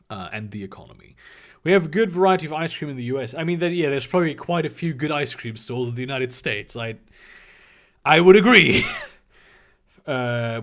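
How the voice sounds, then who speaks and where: A man in his twenties whose first language is German, answering chat questions on a livestream in a halting, casual way. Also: medium pitch, fairly bright tone. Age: 20s. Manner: halting, restarts often, reads donor questions aloud.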